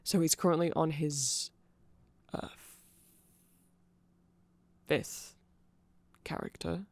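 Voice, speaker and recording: A man's voice: a little high, fairly even intonation, very slow, creaky. A man giving a nerdy, rambling ASMR explanation, often correcting himself and checking in with the listener. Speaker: ASMR creator.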